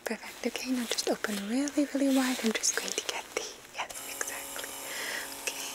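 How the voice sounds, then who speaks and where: Softly whispering woman in ASMR roleplay. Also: high voice, low-quality recording. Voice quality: breathy whisper. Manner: gentle, slow, reassuring personal attention. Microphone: close ASMR microphone.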